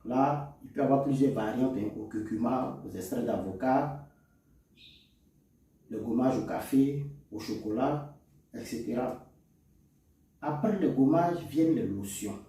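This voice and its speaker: A man's voice: mid-range voice, measured pace, long pauses, dark tone. A man with an African French accent explaining products in an informal, didactic lesson with emphatic delivery. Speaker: cosmetics chemist and instructor. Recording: echoey room, distant microphone.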